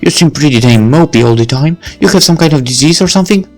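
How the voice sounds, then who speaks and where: Guy putting on a snarky teen girl, high and bouncy, bit of music behind. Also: lively intonation, fast, a little mellow. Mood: mocking. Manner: blunt and mocking. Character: brash moody teenage girl.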